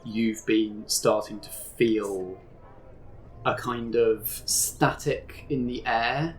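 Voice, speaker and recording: Low-voiced man running a game. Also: fairly even intonation, slight background noise, low-quality recording. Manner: measured, descriptive narration with many fillers. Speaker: game master. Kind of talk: narrating a tabletop game.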